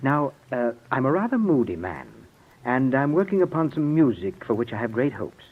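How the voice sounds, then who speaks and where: Moody scheming gentleman composer, formal, swoopy delivery, muffled old radio sound. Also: mid-range voice, sing-song, normal speed. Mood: formal, aloof. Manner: formal, smooth-talking, easily irritated. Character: moody, imperious, scheming composer, brother of dead sisters. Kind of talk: old-time radio drama acting.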